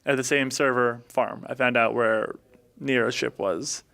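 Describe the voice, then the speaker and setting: Clear-voiced man, halting casual storyteller. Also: mid-range voice, fairly even intonation, a bit bright. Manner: halting, searching for memory. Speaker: tabletop game podcast player. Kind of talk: casual recap of game adventure.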